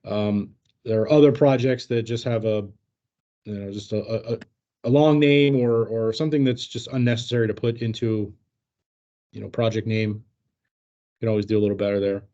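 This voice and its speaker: Male company trainer, mellow tone, halting explanatory delivery, informal Q&A, dull lo-fi meeting sound. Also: lowish voice, steady tone. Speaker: company trainer answering staff questions. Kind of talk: informal work training discussion.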